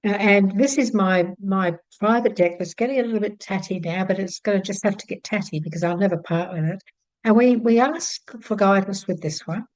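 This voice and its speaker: A woman's voice: mid-range voice, brisk, darkish tone, dry recording, poor audio. An older Australian woman talking to camera from her home, chatty and friendly with many fillers and restarts. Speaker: psychic channel host. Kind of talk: casual talk to camera. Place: home room with shelves of card decks.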